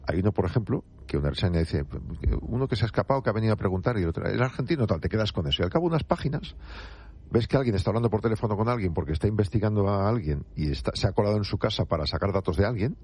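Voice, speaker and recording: Fast, husky Spanish male interviewer. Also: on the low side, animated, a little mellow. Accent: Spanish from Spain. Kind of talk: casual conversational interview.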